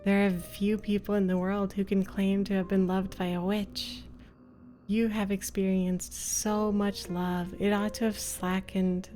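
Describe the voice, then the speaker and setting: A woman acts out a witch from a visual novel who loves to the point of madness. She speaks slowly and formally, possessive and menacingly tender. Faint music plays behind her. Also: mid-range voice, level tone, mellow, close to an everyday voice. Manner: slow, deliberate, formal. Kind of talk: dramatic visual novel reading.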